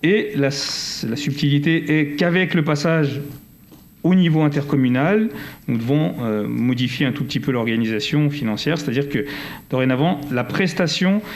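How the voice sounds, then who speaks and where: A man's voice: a little high, normal speed. Wordy Frenchman presenting to a council. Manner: digressive, wordy, self-correcting. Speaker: local councillor. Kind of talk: formal council presentation, partly improvised. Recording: faint background noise.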